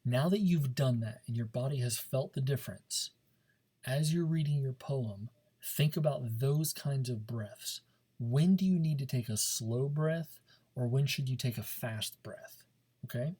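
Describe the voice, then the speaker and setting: A man's voice: mid-range voice, measured pace, mellow, husky. A man teaching a speech class in an informal, coaching manner, often checking in as he gives instructions. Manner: informal, coaching, checks understanding with frequent "okay" and "right".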